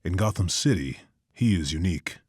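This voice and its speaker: A man's voice: deep voice, lively intonation, moderate pace, darkish tone, slightly rough, dry recording, studio quality. A man voicing a grim, brooding vigilante detective who narrates in terse, clipped lines. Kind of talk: comic narration.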